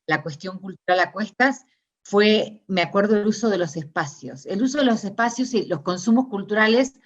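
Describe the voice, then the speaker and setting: A middle-aged Argentine woman with an Argentine Spanish accent, answering interview questions in a thoughtful, searching way with many pauses and restarts. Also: mid-range voice, animated, muffled recording. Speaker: interviewee, discourse analysis scholar. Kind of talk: reflective conversational interview.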